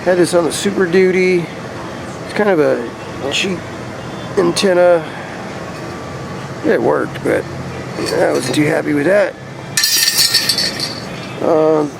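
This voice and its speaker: A man talking casually and conversationally outdoors, explaining things in a laid-back, rambling vlog style. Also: a little high. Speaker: vlogger showing a DIY radio antenna install. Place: outdoors beside his truck. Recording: clear outdoor ambient and vehicle noise.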